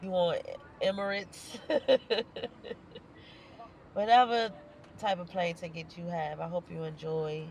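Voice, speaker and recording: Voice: mid-range voice, steady tone, slow pace, creaky, pauses often, slight background noise, rough recording. Stuffy-nosed host talking in a car. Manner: rambling, profane, playful. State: stuffy nose. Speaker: podcast host. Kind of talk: casual solo podcast monologue. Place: inside a parked car at night. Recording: music playing behind the voice.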